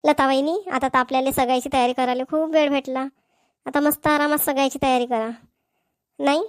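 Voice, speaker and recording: A woman's voice: sits high, steady tone, fairly quick, natural voice. A woman voicing a chatty, persuasive middle-aged mother in a cartoon family comedy, with a Varhadi Marathi accent. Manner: coaxing, repetitive.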